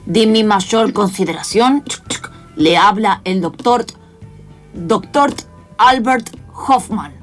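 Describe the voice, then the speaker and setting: A woman's voice: mid-range voice, slow pace, faint music behind. A woman playing an eccentric male scientist, dazed and rambling in bewilderment, in an improvised radio play. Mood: feverish, pompous.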